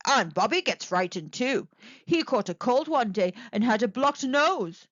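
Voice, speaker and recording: A kind male owl from a children's storybook, played by a woman reading aloud, reassuring and encouraging. The delivery is lively and melodic, with a dry, slightly muffled recording. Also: a little high, measured pace, no room sound. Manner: encouraging, explanatory.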